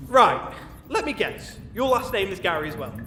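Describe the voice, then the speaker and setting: An exasperated jailer played by a man in a comic fantasy audio drama. The voice is high and swings up and down as he fussily tries to keep order, heard in a roomy echo. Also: big pitch swings, normal speed, sharp tone, recorded from a distance, exaggerated voice. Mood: flustered. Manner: hesitant, fussy, sweary. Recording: roomy echo, off-mic, faint background noise.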